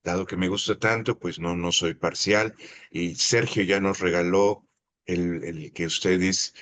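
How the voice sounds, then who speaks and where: A man's voice: deep voice, fairly even intonation, unhurried. A man moderating an online panel, effusive and full of fillers. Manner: effusive, warm thanks, many fillers. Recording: faint background noise.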